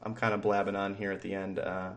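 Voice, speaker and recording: A man's voice: low voice, level tone, a little fast. A young man co-hosting a casual sports podcast, rambling with lots of fillers and easy laughs. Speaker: podcast co-host. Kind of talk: casual chat.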